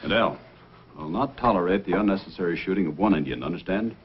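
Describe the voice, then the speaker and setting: Man playing heroic police sergeant, deep voice, firm and commanding, old radio drama, narrow vintage sound with music. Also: quick pace, slight background noise, natural voice. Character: calm, authoritative Mountie sergeant, heroic and commanding. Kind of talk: old-time radio adventure acting. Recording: old band-limited radio recording, music.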